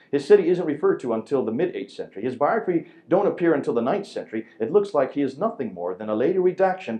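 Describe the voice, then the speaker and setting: Loud guy preaching to a church crowd, pitch jumps all over, dark mellow tone, keeps asking the room questions. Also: rough recording. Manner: emphatic, rhetorical questions, rallying listeners. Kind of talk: lecture to a church audience.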